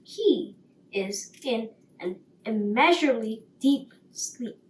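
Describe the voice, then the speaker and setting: A woman's voice: fairly high pitch, lively intonation, slow. A woman voicing a self-assured male sparrow, a pompous know-it-all who explains things in a bedtime story. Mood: explaining, self-assured. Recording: roomy, distant mic, faint noise.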